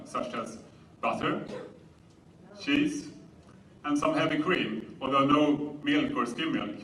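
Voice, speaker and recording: A man with a Swedish accent giving a public lecture in a measured, explanatory manner. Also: medium pitch, bright, forceful tone. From Sweden. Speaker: conference speaker. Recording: roomy, distant mic, faint noise.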